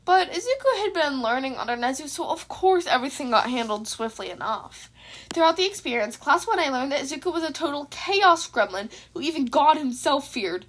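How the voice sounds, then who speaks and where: Female narrator, high voice, scripted storytelling, fan fiction reading. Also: sing-song, fairly quick, fairly bright tone. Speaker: podfic narrator.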